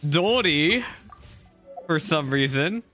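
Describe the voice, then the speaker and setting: A male streamer chats through his game session in an excitable, rambling way, often repeating himself. His voice has a bright, forward edge, and soft game music plays faintly underneath. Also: a little high, slow. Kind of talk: casual livestream commentary.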